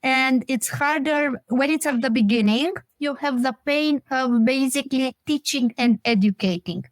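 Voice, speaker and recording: A woman with a fairly high voice hosts a podcast interview. The sound is dry and close, with little room around her. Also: normal speed, no room sound. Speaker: podcast host.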